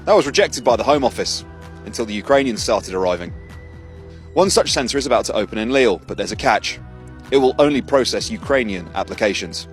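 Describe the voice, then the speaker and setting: A male reporter reading a scripted news report in a measured, pointed way. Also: lowish voice, lively intonation, faint music behind.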